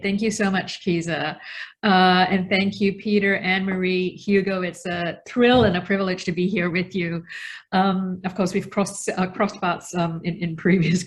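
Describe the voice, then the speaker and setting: A woman from Australia, around her forties, giving a reflective webinar talk as an invited researcher, speaking deliberately and stressing key words. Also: lowish voice.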